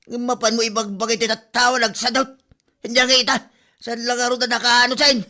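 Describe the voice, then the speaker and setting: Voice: medium pitch, level tone, brisk, pushed and bright, close to an everyday voice. A relative lecturing the mother-in-law and blaming her for the trouble in an amateur comedy audio drama. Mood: scolding.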